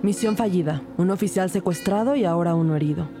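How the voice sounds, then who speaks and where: A woman's voice: lowish voice, fast, music in the background, natural voice. An actress playing a loyal, resolute female police detective in an audio drama, earnest and protective. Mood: grave, resolute. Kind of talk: audio fiction acting and scripted narration.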